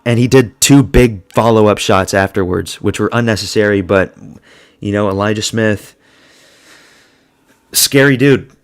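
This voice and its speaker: A man's voice: fairly low pitch, a little slow, long pauses, clean tone. A man giving a blunt, crude, slang-heavy solo review of fight results. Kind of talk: casual solo commentary.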